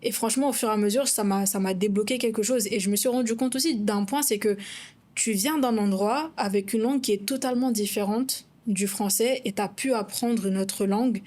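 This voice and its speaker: A young woman with a Malagasy accent talks frankly in a relaxed podcast chat. Her voice sits at a middling pitch, and she speaks her mind plainly in an easy, conversational way. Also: fairly quick. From Madagascar. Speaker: podcast co-host, content creator.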